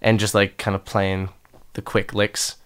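A young man with a low, smooth and resonant voice that carries a slight nasal edge. He answers questions casually, circling back on himself with fillers and false starts. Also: level tone, measured pace. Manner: rambling, many restarts and fillers. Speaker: musician guest.

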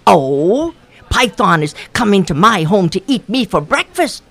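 A small, trembling rabbit, terrified and exclaiming, as a woman storyteller acts it out. The voice is raised and loud, with a gravelly texture. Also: medium pitch, sing-song, unhurried. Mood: frightened. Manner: fearful.

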